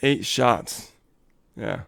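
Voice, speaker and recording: A man's voice: fairly low pitch, drawn-out words, vocal fry, a bit bright. A man co-hosting a casual live coaching stream, upbeat and motivational, laughing often and reading viewer comments aloud.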